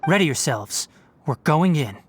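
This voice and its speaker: A man voices a young fox-eared forest scholar from a dubbed anime game. He is composed and principled, lecturing earnestly in measured, articulate phrases. A faint bed of ambient noise sits behind the voice. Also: a little high, animated, lightly acted. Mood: calm, instructive.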